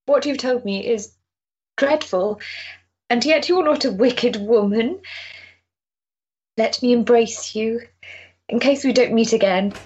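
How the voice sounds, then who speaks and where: Actress as a breathy, anxious Victorian lady. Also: fairly high pitch, slow pace, long pauses, darkish tone, dull audio. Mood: anxious, tender. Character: gentle, anxious, respectable Victorian lady friend. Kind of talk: period stage-play acting.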